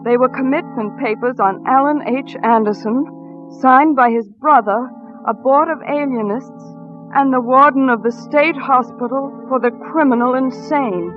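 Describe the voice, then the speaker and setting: Worried devoted wife in 40s radio suspense, earnest n tender, muffled old recording, music under it. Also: female voice, high-pitched, a little slow. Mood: uneasy narrating. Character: anxious, devoted wife telling her own suspense tale. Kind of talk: 1940s radio drama acting and first-person narration. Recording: dull vintage radio recording, music behind.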